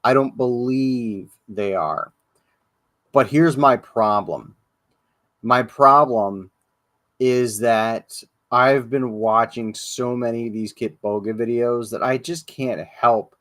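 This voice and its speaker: Slow, rambling man hosting a podcast. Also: mid-range voice, a bit bright, dry recording. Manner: rambling, profane, hesitant with many ums. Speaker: podcast host. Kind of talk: casual livestream chat. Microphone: livestream podcast mic.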